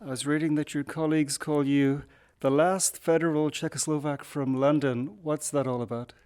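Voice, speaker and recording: Polite podcast host, slightly high voice. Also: male voice, steady tone, moderate pace, darkish tone, clear, resonant tone. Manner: polite, precise phrasing. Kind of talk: interview questions and scripted outro.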